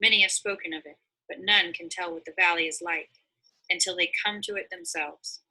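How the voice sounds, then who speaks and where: Very bright, husky woman storyteller. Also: fairly low pitch, fairly quick, rough recording. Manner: dramatic, expressive. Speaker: story reader. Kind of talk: scripted storybook narration.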